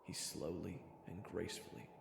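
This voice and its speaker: A man's voice: low voice, level tone, moderate pace, vocal fry, a little hiss or hum. A man playing a self-deprecating young swordsman who narrates his own fantasy story, nervous and sometimes stammering. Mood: hushed storytelling. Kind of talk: first-person scripted narration and acting.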